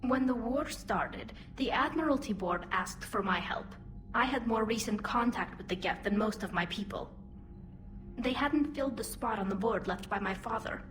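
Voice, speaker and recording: An actress playing a young, modest, war-weary alien admiral in a video game. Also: female voice, fairly high pitch, moderate pace, a bit bright, faint music behind, natural voice, rough recording.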